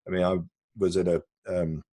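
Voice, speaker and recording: A man answering questions in a conversational video-call interview, halting and often repeating words as he explains. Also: sits low, little pitch movement, dark tone, dry recording. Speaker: interviewee, broadcast technology executive.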